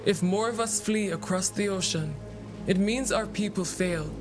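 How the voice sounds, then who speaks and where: A grave, oracular seer played by a man from a fantasy video game. The voice is high for a male and delivers cryptic lines in solemn, measured phrases, with music clearly underneath. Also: natural voice.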